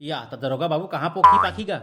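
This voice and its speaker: A woman voicing a folksy, easily flustered village headman, fatherly yet gruff, in a Chhattisgarhi comedy cartoon. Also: sits low, lively intonation, brisk, a bit bright. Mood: deferential.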